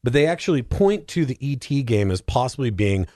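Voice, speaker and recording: A man's voice: mid-range voice, expressive, fairly quick, mellow, dry recording, clean studio sound. A man speaking as a podcast guest, telling stories in a casual, comedic way with emphatic repetition.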